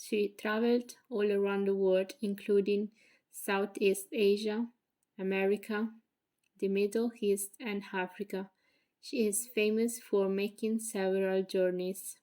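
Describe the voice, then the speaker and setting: A young woman with an Italian accent reads her prepared thesis presentation in English. Her delivery is flat and even, careful and measured, with the odd slip as she corrects herself. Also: medium pitch. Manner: careful, measured reading with slips. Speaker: graduate student.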